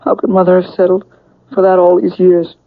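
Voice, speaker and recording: An actor in a radio drama playing a bitter, uneasy younger brother who is a murderous accomplice, tense and questioning. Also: male voice, high-pitched, completely flat delivery, fairly quick, vocal fry, no echo, narrow, tinny audio. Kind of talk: 1970s radio drama acting. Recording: thin, band-limited old radio broadcast.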